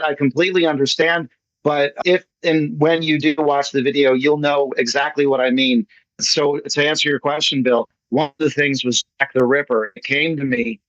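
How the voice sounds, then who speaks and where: A man's voice: medium pitch, fairly bright tone, dry recording. An American man chatting casually and enthusiastically, with a jokey manner, as a playwright in an online meeting. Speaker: playwright presenting his play at an online meeting. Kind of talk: casual chat. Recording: muffled lo-fi online meeting audio.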